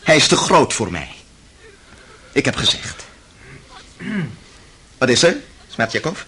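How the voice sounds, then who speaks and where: Forceful, cynical gentleman, faint noise. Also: medium pitch, big pitch swings, takes it slowly, heavily acted. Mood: sardonic, weary. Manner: biting, sardonic, commanding. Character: bitter, cynical, tormented intellectual gentleman and elder brother. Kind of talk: Dutch radio play acting.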